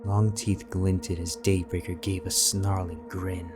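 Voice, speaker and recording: A man narrating a fan-fiction audiobook in a measured, steady storytelling manner. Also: deep voice, over music.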